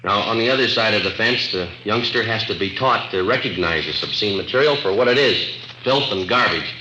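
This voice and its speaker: A man acting a calm, no-nonsense police detective with an American accent, matter-of-fact and deliberate, in 1950s radio drama style. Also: lowish voice, slight background noise. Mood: firm, matter-of-fact. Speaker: police sergeant and narrator. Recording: dull old radio recording, roomy, music behind.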